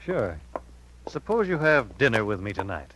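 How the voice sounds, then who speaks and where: An actor playing a persuasive, insistent but kind young detective in a 1950s radio drama. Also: male voice, on the low side, very animated, moderate pace. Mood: earnest, pleading. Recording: hissy, humming 1950s radio recording.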